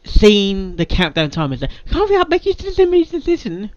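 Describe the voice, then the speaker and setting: A person giving casual, unscripted reaction commentary in an excitable, halting way, often repeating words. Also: mid-range voice, no echo, lo-fi sound. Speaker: reaction video maker reviewing a fan fiction reading.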